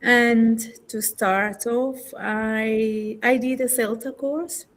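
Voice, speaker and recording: A woman's voice: a little high, steady tone, drawn-out words. A young woman, a trainee teacher chatting in English over a video call, thoughtful and hesitant, often correcting herself. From Indonesia. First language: Indonesian. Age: young adult. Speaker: university student, trainee teacher. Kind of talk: casual conversation practice.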